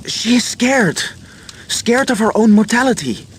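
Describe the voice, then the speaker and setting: A man's voice: extremely high pitch, lively intonation, unhurried, a little hiss or hum. A man playing an earnest, apologetic inventor who betrayed his friends, in a video game cutscene. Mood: sombre, sympathetic.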